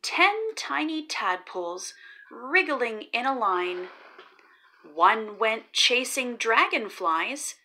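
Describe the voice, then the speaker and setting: Slow sing-song woman reading to kids. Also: a little high, big pitch swings, sharp tone. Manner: slow, sing-song reading with dramatic pauses before each number. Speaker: children's book reader, channel host. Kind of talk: read-aloud storytelling for kids.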